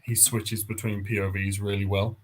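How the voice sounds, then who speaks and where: A man's voice: fairly low pitch, flat delivery, normal speed, slight background noise, low-quality recording. A man talking casually as a co-host in a book discussion. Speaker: read-along co-host. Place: online live video chat.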